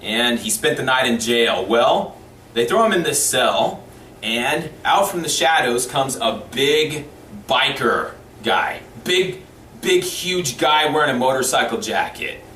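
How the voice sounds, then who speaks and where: A man telling a comic story to camera in a mock-serious way, acting out bits of dialogue with pauses. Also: medium pitch, lively intonation, sharp tone. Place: small indoor room, seated before camera. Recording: roomy, distant mic with background hiss.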